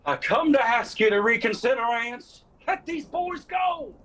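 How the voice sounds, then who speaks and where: An actor playing an earnest mountain preacher in a radio drama, solemn and admonishing. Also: male voice, very high voice, animated, measured pace, fairly bright tone, full character voice. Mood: urgent. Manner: solemn, exhorting.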